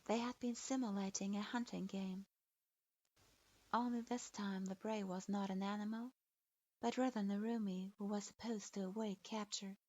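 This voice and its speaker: A woman reading a dark fanfiction story aloud as its narrator, in a steady, even, scripted manner. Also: mid-range voice, moderate pace, dry recording. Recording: dull dry lo-fi recording.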